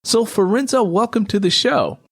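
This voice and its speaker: A man's voice: high-pitched, moderate pace, slightly rough, no room sound. A man hosting a podcast and interviewing a guest, who also works as a book narrator. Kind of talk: scripted show intro and interview.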